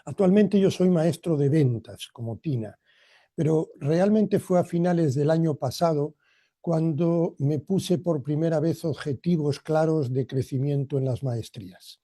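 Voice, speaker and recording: A man's voice: fairly high pitch, a little slow, mellow, no room sound, studio quality. A man gives a motivational training talk in a reflective, personal way, addressing listeners directly. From Argentina, emigrated to Spain as a boy.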